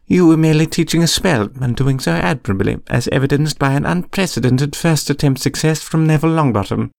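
A man voicing a stern older female professor in a story, with a crisp, formal, firm delivery. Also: fairly high pitch, brisk, no echo, clean studio sound, pitched above the performer's own voice, close to an everyday voice. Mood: firm, brisk.

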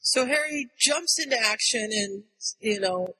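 A man co-hosting a casual book discussion, retelling the story in an animated way. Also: high-pitched, a little slow, breathy edge. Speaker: podcast co-host. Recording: long echoey reverb.

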